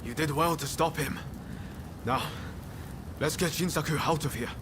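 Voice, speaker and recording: An actor playing an earnest, resolute samurai-era rebel weary of bloodshed, in a video game cutscene. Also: male voice, a little high, normal speed, noisy background, lightly acted. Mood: urgent, focused.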